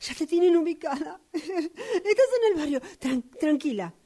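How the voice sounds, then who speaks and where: A woman's voice: sits high, expressive, normal speed, dark tone. A woman playing a frantic, bossy grown daughter with an Argentine accent in an amateur radio drama, urgent and demanding. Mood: anxious, reassuring.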